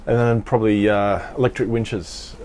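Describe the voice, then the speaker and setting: A man's voice: on the low side, steady tone, moderate pace, a little mellow. A man in his thirties interviewing a boat owner on board, asking short prompting questions and affirming the answers. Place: inside a catamaran saloon, docked.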